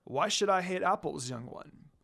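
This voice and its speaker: A man voicing a great dog demon lord, calm and kind, speaking softly in a story reading. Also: high-pitched, normal speed, vocal fry, exaggerated voice. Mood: kind, curious. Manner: kind, soft, smiling.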